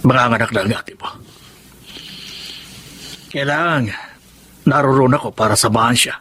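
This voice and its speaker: A proud wounded dad, medium voice, earnest filipino radio drama, bit of noise behind. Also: male voice, medium pitch, unhurried, bright, forceful tone. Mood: resigned, resolute. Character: wounded, proud married father, resigned and resolute.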